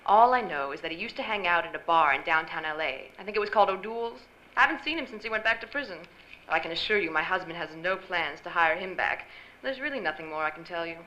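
Casting: a rich, poised femme fatale, guarded and quick-spoken, low-ish pitch, radio noir. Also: female voice, lively intonation, pushed and bright, muffled recording. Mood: cool, guarded. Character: sultry, guarded wealthy married woman, femme fatale. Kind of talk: old-time radio noir drama acting. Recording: live stage recording with faint noise.

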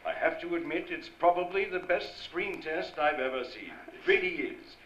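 An actor playing a smooth-talking, encouraging film director in an old-time radio drama. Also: male voice, on the high side, animated, normal speed, a bit bright. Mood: effusive. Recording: dull, echoey live stage recording, faint noise.

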